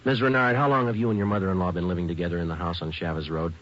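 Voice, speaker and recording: A methodical police detective, questioning a suspect and narrating the case in brisk, clipped sentences. His voice sits low and never rises. A dull old radio recording with faint music underneath. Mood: terse. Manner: clipped, flat, matter-of-fact. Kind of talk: 1940s radio acting.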